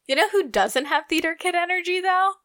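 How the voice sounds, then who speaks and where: A woman's voice: very high-pitched, a little fast, fairly bright tone, no room sound, clean studio sound. A young woman co-hosting a podcast in casual, gushing chat, laughing often. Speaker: podcast co-host.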